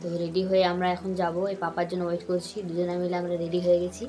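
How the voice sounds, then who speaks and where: A young woman chats straight to her viewers, slipping English words into her talk. She records on a phone held at arm's length in a small room at home. Music plays clearly behind her voice. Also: lowish voice, completely flat delivery, racing, dark tone. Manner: chatty, addresses viewers directly, mixes in English words. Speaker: vlogger. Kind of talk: casual vlog narration to camera. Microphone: phone camera held at arm's length.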